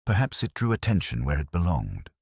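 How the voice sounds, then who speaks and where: Male narrator as haughty society lady, low husky voice, swooping intonation, arch, composed, mystery story. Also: huge pitch swings, a little fast, no echo, clean studio sound, full character voice. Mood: cool, arch. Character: proud, cool, evasive society woman.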